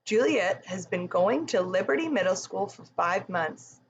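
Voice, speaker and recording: A woman's voice: mid-range voice, animated, measured pace, dull audio, a little hiss or hum. A woman narrating a children's book as a scripted read-aloud. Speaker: storybook narrator.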